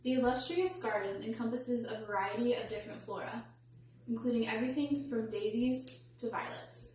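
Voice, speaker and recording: The recording is very echoey and picked up from a distance. A young woman with a fairly high voice gives a rehearsed speech that tells a story. Her delivery is measured and formal, with careful wording. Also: darkish tone. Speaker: student public speaker. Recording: echoey room, distant mic, faint hum.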